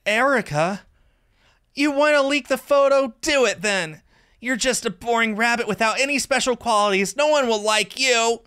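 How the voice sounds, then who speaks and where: A man voicing a hurt, angry teenage schoolgirl in a visual novel, with a cutting, forceful delivery. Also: extremely high pitch, moderate pace, smooth, pitched above the performer's own voice. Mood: bitter, furious. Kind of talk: visual novel character read-aloud.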